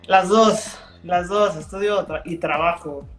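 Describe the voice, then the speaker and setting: A woman's voice: lowish voice, takes it slowly, reverberant, faint music behind. A woman chef with a Mexican accent chatting as a guest, laid-back and full of slang. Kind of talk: casual chat.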